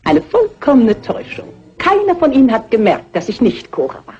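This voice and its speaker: A woman's voice: high voice, sing-song, brisk, a little mellow. An actress playing a scheming, contemptuous woman killer who poses as a harmless spinster, theatrical in a dubbed crime film. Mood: gloating contempt. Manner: theatrical, gloating. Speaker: murderer unmasked by the detective. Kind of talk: 1960s crime film dub. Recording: muffled husky old film soundtrack with music.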